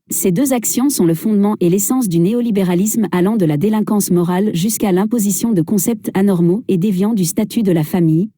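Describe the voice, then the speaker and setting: Woman with a dark, dry reading voice. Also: medium pitch, no echo. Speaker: reader of a translated speech. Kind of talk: formal reading of a speech.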